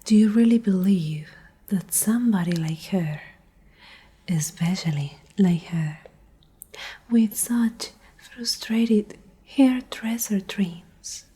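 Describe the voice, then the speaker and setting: Female performer for menacing post-apocalyptic pack leader, ASMR roleplay. Very husky, airy whisper with a soft, dark tone, condescending and controlled. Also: medium pitch. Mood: sardonic. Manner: slow, condescending, coldly threatening. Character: menacing, domineering female Alpha leader of apocalyptic survivor group.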